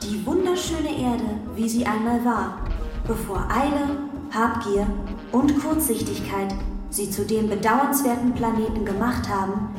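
A woman's voice: on the high side, close to an everyday voice. An actress playing a grand, solemn female leader giving a formal, ceremonious welcome speech in a radio drama. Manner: ceremonious, measured oratory. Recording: echoing hall, distant, music over it. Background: music.

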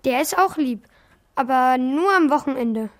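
An actor in a children's radio play voicing an excitable, shy young schoolboy with a candid, hesitant manner. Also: high voice, a little slow.

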